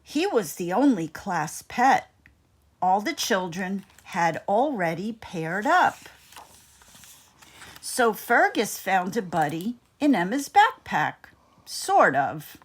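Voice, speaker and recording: Slow, animated woman reading a storybook. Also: medium pitch. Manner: deliberate, expressive. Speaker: storybook reader. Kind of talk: expressive read-aloud narration of a children's story.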